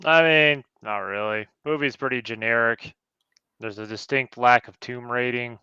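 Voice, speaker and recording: A man's voice: medium pitch, fairly even intonation, normal speed, sharp tone, smooth, no room sound, lo-fi sound. A man chatting casually as a panelist in a film review discussion. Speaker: podcast panelist.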